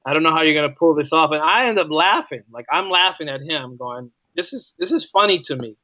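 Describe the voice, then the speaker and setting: Male podcast guest, lightly high voice, conversational, doubles back on phrases, muffled lo-fi sound. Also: lively intonation, fairly quick, pushed and bright, no room sound. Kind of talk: casual interview chat.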